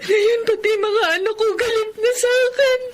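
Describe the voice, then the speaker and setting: Distressed filipina mom, really high pleading voice, radio drama, noisy background. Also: female voice, steady tone, moderate pace. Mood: fearful, anguished. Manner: pleading, sobbing. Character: Sabel, anguished, fearful middle-aged mother. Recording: loud background noise and ambience.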